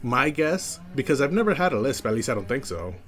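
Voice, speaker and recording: A young man with a slight lisp, chatting casually as a first-time streamer in a flat, monotone way with many fillers. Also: medium pitch, a little fast, soft background music. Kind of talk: casual chat.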